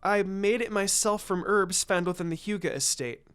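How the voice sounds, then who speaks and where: A shy young ninja girl from an adventure story, as a man reading aloud puts her on. She sounds polite and nervous, with soft, hesitant delivery. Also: sits high, moderate pace, clean tone. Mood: timid, polite.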